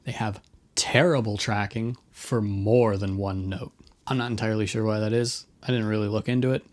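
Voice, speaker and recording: A man's voice: lowish voice, steady tone, moderate pace, a little mellow. A man presenting a casual explainer in an offhand, blunt manner. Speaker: video presenter on guitar effects.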